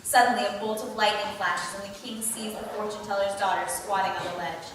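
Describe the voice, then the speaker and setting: A woman's voice: medium pitch, fairly even intonation, a little fast. A woman reading scripted stage directions as narrator at a table read. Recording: echoey hall, distant mic, clear background noise.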